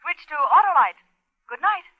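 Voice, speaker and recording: A woman's voice: sits high, very animated, moderate pace, dry recording. A woman reading a 1950s radio commercial, on a tinny, phone-like old recording. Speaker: commercial announcer.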